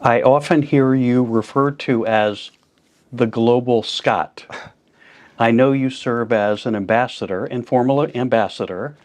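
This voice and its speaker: A man's voice: mid-range voice, unhurried, a little mellow. A man hosting an interview podcast, asking plainspoken questions in a friendly way. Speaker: podcast host.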